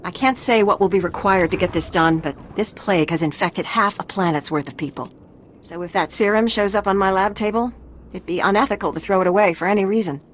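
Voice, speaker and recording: An actress playing a plague researcher in a video game, with a dry, ironic, measured delivery. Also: female voice, mid-range voice, a little hiss or hum, close to an everyday voice. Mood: wry. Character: wry female plague researcher. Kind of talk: game cutscene acting.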